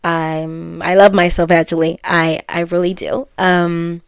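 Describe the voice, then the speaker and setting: Low, flat-voiced young woman. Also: measured pace, vocal fry, smooth, no room sound, studio quality. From United Kingdom. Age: 20s. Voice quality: well-spoken. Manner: candid, musing, many fillers and self-corrections. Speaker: podcast host. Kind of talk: reflective solo podcast monologue.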